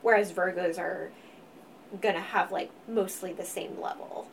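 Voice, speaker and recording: Quiet-voiced woman a little off-mic. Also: lowish voice, a little slow, creaky, far from the mic, a little hiss or hum, low-quality recording. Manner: quiet voice that gets louder when excited. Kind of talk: casual astrology chat.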